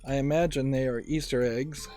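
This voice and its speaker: A man doing casual gameplay commentary and reading aloud, halting as he thinks out loud. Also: medium pitch, steady tone. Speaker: let's play host. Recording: quiet game music behind. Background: game music.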